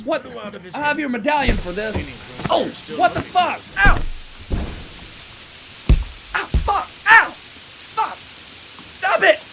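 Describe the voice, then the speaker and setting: An actor playing a crude, foul-mouthed young thug who panics and curses, in an audio drama. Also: male voice, very high-pitched, animated, slow, long pauses, over loud music, heavily acted, rough recording. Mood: panicked.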